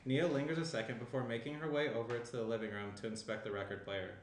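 A man's voice: mid-range voice, fairly even intonation, fast talker. Young man narrating in an echoey room. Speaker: narrator of stage directions. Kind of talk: scripted narration, screenplay table read. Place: indoor room, seated reading from tablet. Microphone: shared desk microphone, some distance. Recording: roomy echo, faint background noise.

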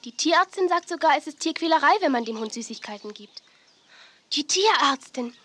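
A woman's voice: high-pitched, lively intonation, fairly quick, a bit bright. A woman playing a caring, sensible young dog owner in a children's audio play, speaking earnestly. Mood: concerned. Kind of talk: 1980s German children's audio play acting. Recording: faint noise, old cassette audio play.

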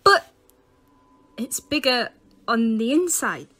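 Young student sidekick, female actor: forthright, dryly questioning, slightly high, crisp bright tone, audio drama. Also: very animated, slow pace, exaggerated voice. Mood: curious, teasing. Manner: dry, questioning. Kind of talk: sci-fi audio drama acting.

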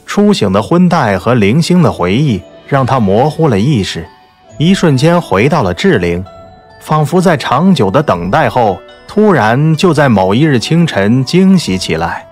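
A man's voice: medium pitch, very animated, unhurried, soft background music. A man reading scripted dramatic narration from a romance novel. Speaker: audiobook narrator.

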